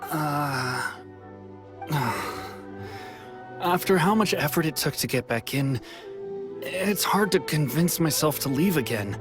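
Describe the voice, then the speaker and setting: A man's voice: a little high, expressive, takes it slowly, long pauses. An actor playing an anxious young man in hiding in a video game, hesitant and sighing with regret. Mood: regretful. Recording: game soundtrack music behind.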